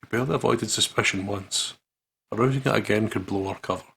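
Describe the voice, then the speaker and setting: A man's voice: lowish voice, fast talker, creaky, fairly bright tone, husky. A man hosting a gaming video, reading story narration aloud dramatically and dropping into casual asides.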